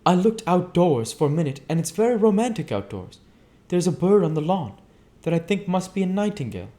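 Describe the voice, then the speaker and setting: A high, affected young society woman from a novel , as a man acts her out. She gushes in a breathless singsong, with brittle, strained gaiety. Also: sing-song, brisk, mellow. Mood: forced gaiety.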